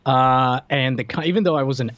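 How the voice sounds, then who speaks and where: A man speaks as a podcast co-host in loose, conversational fashion. His voice is mid-pitched, and he slips dry, joking asides into the chat. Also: brisk, a bit bright, dry recording, highs cut off.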